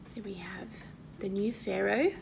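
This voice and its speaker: A woman in her thirties reads a Bible story to children, explaining it and asking questions in an expressive, teacherly way. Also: mid-range voice, unhurried, vocal fry, noisy background. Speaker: Sunday school story reader. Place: indoor living room at home.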